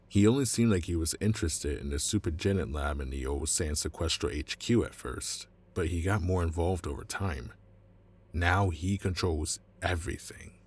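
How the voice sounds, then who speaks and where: A man acts out a self-preserving corporate lawyer in a cyberpunk audio drama. His voice sits low and is husky. He explains things anxiously and pleads to be taken seriously. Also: darkish tone. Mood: guarded, explanatory. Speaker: voice actor. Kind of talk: cinematic cyberpunk audio roleplay acting.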